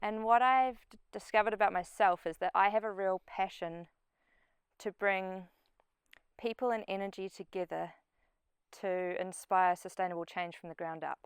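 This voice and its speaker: A woman's voice: mid-range voice, moderate pace, clear, resonant tone, no room sound, studio quality. A middle-aged woman with a New Zealand accent giving an informal short personal talk to a group. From New Zealand and Papua New Guinea. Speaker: speaker at a community event, farm sustainability coach.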